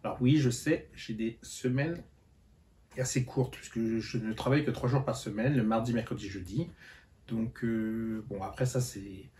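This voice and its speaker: A tired man giving a relaxed reading update to his viewers, with a voice of ordinary pitch. He talks hesitantly, pausing often on fillers and restarting his sentences. The whole talk has a loose, casual vlog feel. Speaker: book vlogger.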